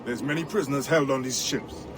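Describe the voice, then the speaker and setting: An actor playing a genial gentleman sailor who keeps company with pirates in a video game cutscene. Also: medium pitch, fairly quick, noisy background, close to an everyday voice. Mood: conspiring. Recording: loud music behind the voice. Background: loud music.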